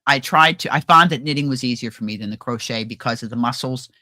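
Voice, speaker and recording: Livestream co-host, woman, low but bright voice, lively chatter, dry close mic. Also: quick pace, no room sound. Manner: chatty, animated, trails off and restarts. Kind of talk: casual chat. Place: home livestream.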